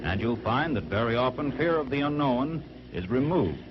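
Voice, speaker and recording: A man playing a reassuring, authoritative flight instructor in a 1940s training film, speaking deliberately on an old soundtrack. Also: medium pitch, lively intonation, natural voice. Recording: muffled, noisy vintage film soundtrack.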